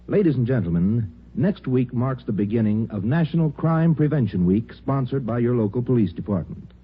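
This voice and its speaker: Casting: male commercial announcer, mid-pitched, swooping emphatic delivery, slightly husky, very muffled vintage radio. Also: animated, normal speed. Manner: polished, emphatic salesmanlike delivery. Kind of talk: 1950s radio sponsor announcing. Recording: very muffled old radio recording.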